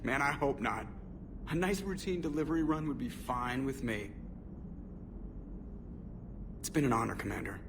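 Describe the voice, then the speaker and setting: A wisecracking, loyal male pilot played by a man with a mid-range voice. He talks casually and flippantly in game dialogue, a little away from the mic, with clear rumbling noise behind. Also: lively intonation, fairly quick, distant mic, lightly acted. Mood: nostalgic, wry. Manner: casual, flip, colloquial. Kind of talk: cinematic video game dialogue acting.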